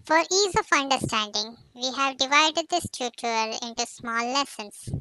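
A scripted channel intro voice welcoming viewers and asking them to subscribe, announced slowly and evenly. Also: sits high, lively intonation, pushed and bright, clear, resonant tone, dry recording, rough recording.

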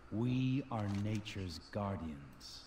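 An actor playing a solemn ancient nature guardian in a fantasy video game, speaking gravely and ceremoniously. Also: male voice, on the low side, big pitch swings, slow, mellow. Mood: solemn, benevolent. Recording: game audio with music behind. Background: game music.

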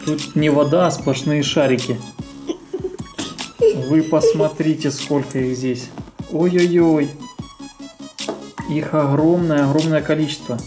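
A woman's voice: low voice, steady tone, slow pace, long pauses. A young woman chatting playfully to young viewers, exclaiming and repeating words for emphasis. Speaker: mother presenting a children's toy video. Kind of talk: playful chat to young viewers during toy play. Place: indoors in a room at home. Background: music.

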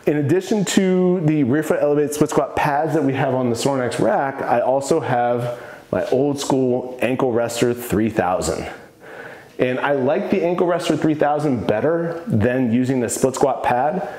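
A man's voice: mid-range voice, darkish tone. A man in his thirties, a fitness coach, casually showing off the equipment in his home gym and sharing his opinions on it with enthusiasm. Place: home gym in a basement room. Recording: echoey room.

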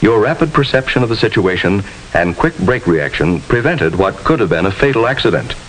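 A man's voice: lowish voice, sing-song, fairly quick, low-quality recording. An American man giving formal, instructive narration with precise diction in the style of a 1950s educational film. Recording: hissy 1950s film soundtrack.

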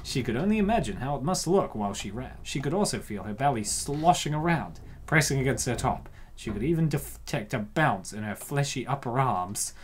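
A man's voice: medium pitch, animated, fairly quick, hardly any pauses, slightly rough. A man narrating a comic as commentator, theatrical and slangy, riffing casually on it as he goes.

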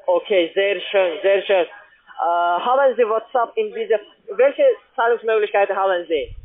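A man with a foreign accent, not a native German speaker, making a halting, repetitive, insistent telephone sales pitch. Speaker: call-centre sales caller. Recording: muffled, narrow phone line.

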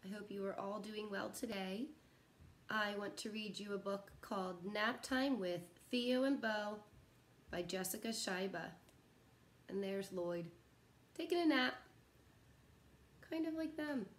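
A woman's voice: medium pitch, measured pace, long pauses. A woman in her thirties reading a picture book aloud to young children in a sing-song way, recorded at home. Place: living room at home, indoors.

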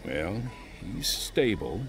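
A man playing a calm, paternal hospital doctor in a radio drama, measured and reassuring. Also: deep voice, vocal fry, music in the background, full character voice. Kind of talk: radio drama dialogue acting.

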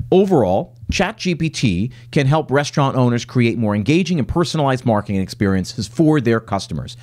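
Man, 40s, solo podcast host at a home desk; animated pitch, middle-range voice, casual explainer with fillers. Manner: reads lists aloud, then talks loosely with many fillers and restarts. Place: home office with plants.

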